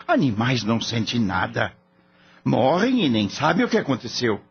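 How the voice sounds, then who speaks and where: A man's voice: medium pitch, wildly swooping pitch, moderate pace, vocal fry, breathy edge, heavily acted. A man playing a skeptical, scornful veterinarian boss in a radio drama, blunt, mocking and lecturing. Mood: dismissive, lecturing. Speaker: voice actor. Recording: dull lo-fi audio, faint hum.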